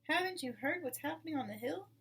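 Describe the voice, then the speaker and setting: A woman voicing an excitable, despairing male badger in a storybook, exclaiming anxiously. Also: high voice, quick pace, voice pushed up. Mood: alarmed. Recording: distant mic with clear background noise.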